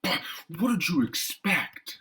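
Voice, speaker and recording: A man voicing a gruff, bitter, combative brawler turned hulking monster in a dramatic comic-book reading. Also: sing-song, slow, sharp tone, slight background noise, exaggerated voice. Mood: angry, combative. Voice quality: gruff, low voice. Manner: combative, sneering tough-guy talk.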